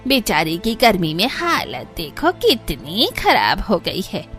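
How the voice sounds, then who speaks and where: A woman's voice: mid-range voice, huge pitch swings, slow, fairly bright tone, soft background music, exaggerated voice. A woman voicing a chatty, nosy but sympathetic village neighbour in a cartoon. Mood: concerned.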